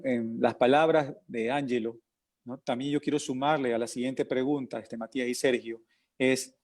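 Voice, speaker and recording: A man from Ecuador moderates a panel in a formal, measured tone at a middling pitch, with occasional fillers as he frames the next question. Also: a little mellow. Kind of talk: hosting a panel.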